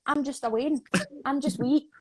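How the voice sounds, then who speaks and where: A woman's voice: high voice, steady tone, normal speed, no echo, poor audio. A woman in her twenties hosting a casual livestream interview in a jokey, informal, chatty way. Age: 20s. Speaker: host, associate producer. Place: live video call.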